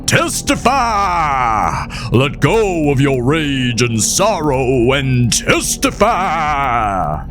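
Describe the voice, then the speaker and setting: A man voicing a fervent carnival preacher with drawn-out exhortations in a horror audio drama. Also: mid-range voice, very animated, over music, voice pushed up.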